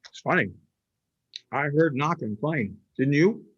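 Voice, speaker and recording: Man acting a high-pitched naive devoted son. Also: lively intonation, breathy edge, muffled recording. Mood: puzzled. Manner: halting, stammering, earnest, folksy. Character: naive, simple, devoted son pining for missing mother. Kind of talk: old time radio horror acting.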